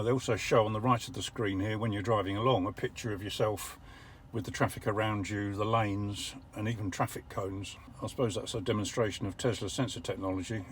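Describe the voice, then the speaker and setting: Older English man, low voice, measured. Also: a little hiss or hum. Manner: measured, explanatory, wry. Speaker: driver reviewing an electric car. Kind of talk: casual vlog commentary. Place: parked car.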